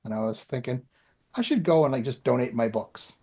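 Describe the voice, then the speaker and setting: A man's voice: medium pitch, animated, brisk, darkish tone, husky. A man with a Canadian accent, from the Ottawa area, chatting casually as a podcast co-host in a rambling, self-deprecating way. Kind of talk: casual chat, personal updates.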